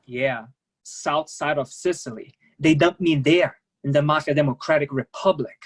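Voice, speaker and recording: An actor in a staged play reading, playing a resigned, candid immigrant massage therapist who speaks in clipped, non-native phrasing, matter-of-factly. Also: male voice, on the high side, moderate pace, natural voice. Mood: bitter, resigned.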